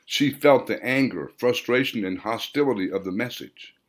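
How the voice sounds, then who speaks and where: A man reading his own novel aloud as a dramatic audiobook, phrasing deliberately and drawing out words for emphasis. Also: medium pitch. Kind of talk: dramatic scripted audiobook narration.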